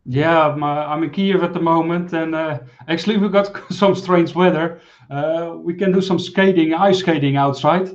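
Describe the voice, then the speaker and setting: A man in his forties hosting a live stream interview over a video call, talking in a friendly, halting way with many fillers. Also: fairly high pitch, fairly even intonation, muffled recording. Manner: friendly, halting, many uhs, laughs easily. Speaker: host. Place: small room, indoors.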